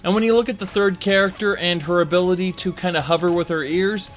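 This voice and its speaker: High-voiced man giving an emphatic game review. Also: fairly even intonation, fast. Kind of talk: informal scripted game review commentary. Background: music under the voice.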